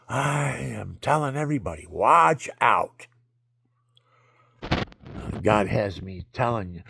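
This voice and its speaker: A man telling a rambling personal story in a monologue, jumping between loud outbursts and low mutters. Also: creaky, husky, no room sound. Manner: calls himself low-voiced.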